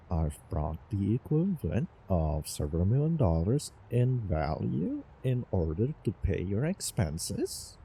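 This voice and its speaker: A man playing a doting, obsessive young time traveler in love, in a theatrical romantic audio roleplay with halting, repeated words. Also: on the low side, creaky, dark tone, a little hiss or hum, heavily acted. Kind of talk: over-the-top romantic roleplay audio drama.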